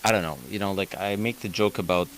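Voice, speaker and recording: A man hosting a call-in radio advice show, talking in an energetic, emphatic way and checking in with "okay?". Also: low voice, quick pace, a little hiss or hum, low-quality recording. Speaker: radio host, mortgage broker.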